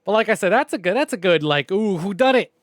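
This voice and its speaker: Higher-voiced man chatting casually on stream. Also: lively intonation, fast talker, no echo, studio quality. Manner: informal, rambling, mild swearing. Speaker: video game livestreamer.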